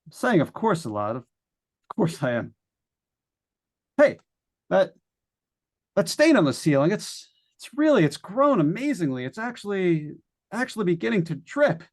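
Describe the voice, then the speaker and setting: A man's voice: sits high, sing-song, heavily acted. A man playing a nervous, lonely radio broadcaster unravelling in a haunted house, stammering and rambling in 1940s radio suspense style. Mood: melancholy. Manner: stammering, halting, rambling with repeated words. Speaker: actor in old-time radio play. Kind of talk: 1940s radio suspense acting, live monologue.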